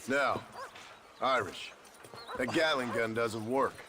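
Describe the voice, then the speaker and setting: An actor playing a stern, sardonic American ex-outlaw in a Western video game, dry and menacing with formal politeness. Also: male voice, mid-range voice, slow, background noise, close to an everyday voice. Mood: scornful. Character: stern, sardonic former outlaw gunman of the Old West. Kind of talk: video game character acting.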